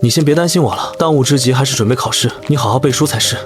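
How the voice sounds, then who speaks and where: A young actor playing a poor young village man who is flustered and blunt, over loud background music. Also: medium pitch, very fast, natural voice. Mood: calm. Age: young adult. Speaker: protagonist. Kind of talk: animated drama dubbing.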